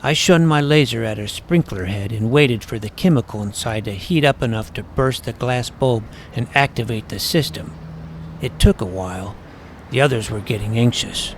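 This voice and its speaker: A man reading a first-person story aloud in a wry, deadpan manner. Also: medium pitch, moderate pace, slight background noise. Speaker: audiobook narrator. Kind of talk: first-person scripted narration.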